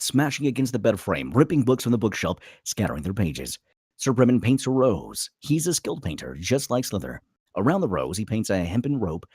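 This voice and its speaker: A man's voice: on the low side, a little mellow, slightly rough, dry recording, studio quality. A man reading screenplay stage directions aloud as a dramatic narrator at a table read.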